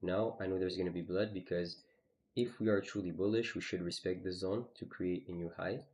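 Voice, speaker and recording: A man's voice: low-pitched, fairly even intonation, brisk, a little mellow, dull audio. A man streaming live, casually walking through his trades on a chart, with lots of "you know" and "okay". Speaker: trading streamer.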